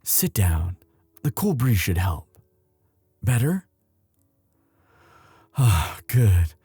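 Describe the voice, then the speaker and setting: A man's voice: fairly low pitch, very animated, warm tone, husky, dry recording, soft background music, full character voice. A man acting an emotional young prince, wide-swinging voice. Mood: tender, teasing. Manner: intimate, halting, emotional. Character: young prince, tender, devoted, anxious lover. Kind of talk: romantic audio roleplay acting.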